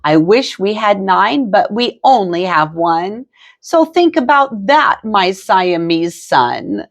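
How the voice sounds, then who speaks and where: A woman's voice: medium pitch, very animated, slow, full character voice. A woman reading aloud, voicing a frazzled mother cat who scolds in an exasperated way. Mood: tender.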